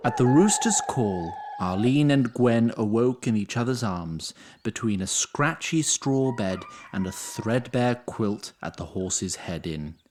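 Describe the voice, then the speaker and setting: A man's voice: mid-range voice, animated, measured pace, background noise. Male storyteller for scripted fantasy narration, mid-range voice, very varied intonation, dryly literary delivery.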